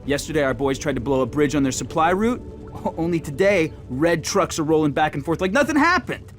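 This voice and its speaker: An actor playing a streetwise, cocky resistance fighter with an American accent, talking in brash slang in a video game. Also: male voice, fairly high pitch, animated, a little fast, soft background music, lightly acted. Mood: brash, fired up. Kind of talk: video game cutscene dialogue.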